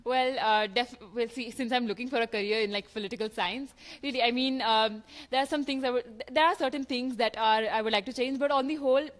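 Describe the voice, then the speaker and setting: A woman's voice: on the high side, steady tone, fairly quick, few pauses. A teenage girl from India with an Indian English accent, answering interview questions in a hesitant, giggly, polite way. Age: teens. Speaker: interview guest, top student.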